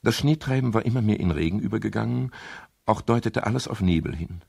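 An actor playing a wry, world-weary private detective in a radio crime drama, with a gravelly voice and dry, unhurried manner. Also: male voice, fairly low pitch, darkish tone, dry recording. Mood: reflective narration. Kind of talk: 1970s German radio crime drama acting and narration.

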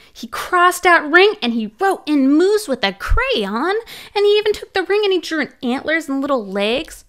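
A young woman librarian reading a picture book aloud to children, sounding out letters playfully in a slow, animated storytime style. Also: high-pitched. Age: young adult. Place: small home room, indoors. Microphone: large studio mic on arm in front of her.

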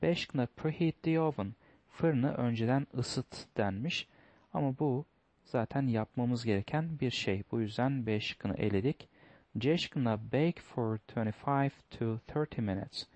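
A male teacher works through test answers in a steady, methodical way. His voice sits at a medium pitch. The recording is dry and close, with no room sound. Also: measured pace, no echo. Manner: step-by-step, methodical, reads English aloud then translates. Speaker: English teacher.

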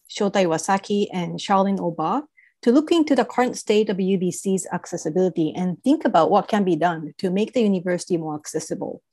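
A woman's voice: mid-range voice, a little mellow. Japanese-accented woman presenting research. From East Asia. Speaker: university professor presenting research. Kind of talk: scripted presentation. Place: video call.

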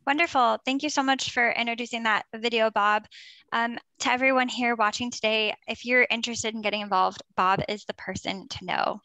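A woman hosting a live online panel, introducing speakers and videos in a friendly, brisk way. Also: a little high, steady tone, sharp tone, no room sound, rough recording. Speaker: event host.

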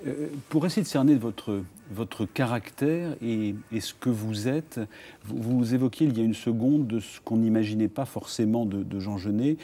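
A man's voice: medium pitch, moderate pace, dark tone. A middle-aged man hosting a late-night TV interview in a studio, asking probing questions with hesitant restarts. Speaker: host. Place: TV studio set.

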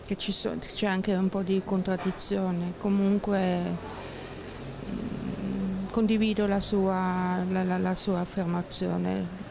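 A woman's voice: medium pitch, level tone, slow, gravelly, noisy background. A middle-aged woman from Switzerland answering a street interview at night, hesitant and searching for her words, into a handheld mic. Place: outdoor town square at night. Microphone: handheld mic held by interviewer. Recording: outdoor street with crowd behind.

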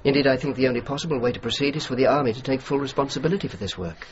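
An actor playing a courteous, dutiful English civilian official in a radio drama, formal and measured, with an educated English accent. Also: male voice, mid-range voice, close to an everyday voice. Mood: earnest, insistent. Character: earnest, dutiful middle-aged English civilian official, courteous yet insistent. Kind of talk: radio drama acting and reflective narration. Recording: slightly muffled, faint noise.

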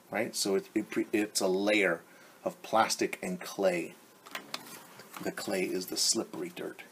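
Husky man reading to young children. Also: mid-range voice. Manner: animated, simple explaining with questions to the listener. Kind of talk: expressive picture-book read-aloud with simple explanations to children. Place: living room at home, indoors. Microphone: device camera at about arm's length.

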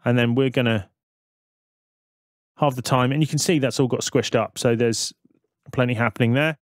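Guy doing a music software tutorial, mid pitched voice, explains stuff step by step, very dry close sound. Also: fairly even intonation, normal speed, no room sound. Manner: explanatory, thinking aloud. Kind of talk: screen-recorded software tutorial narration.

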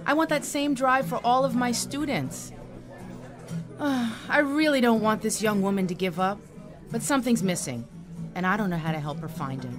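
A woman's voice: medium pitch, close to an everyday voice. A woman playing a passionate, caring professor in a naturalistic audio drama. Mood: passionate, worried.

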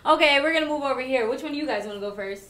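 A woman hosting a casual group podcast interview, lively and teasing, often laughing with her guests. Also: sits high, racing. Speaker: podcast host. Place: small room with several guests at a table. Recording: echoey room, faint noise.

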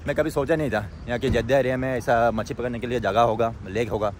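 Young guy vlogging by a lake, excited, keeps saying look at this, lots of water noise. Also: medium pitch. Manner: excited, keeps pointing things out to viewers. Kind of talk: casual vlog narration. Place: outdoors by a lake. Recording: noisy outdoor recording with rushing water.

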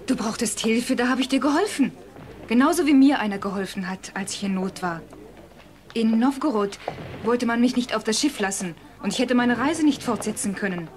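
A loyal, earnest young woman played by an actress in a radio play. Her voice is fairly high and gentle in delivery, with noticeable noise in the background. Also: moderate pace, natural voice. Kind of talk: German audio drama acting.

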